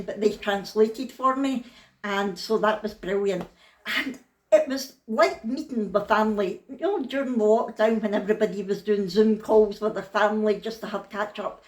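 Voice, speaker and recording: Scottish woman, thick accent, casual vlog chat, tells it like it is, middle pitch, echoey room. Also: fairly even intonation, normal speed, a little mellow. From Scotland. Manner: frank, down-to-earth storytelling. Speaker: vlogger.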